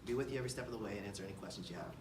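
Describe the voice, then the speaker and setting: An American man from Kansas City giving a seminar presentation as a real estate agent, speaking haltingly with frequent pauses. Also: fairly low pitch. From Kansas City, United States. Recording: distant mic, roomy echo, clear background noise.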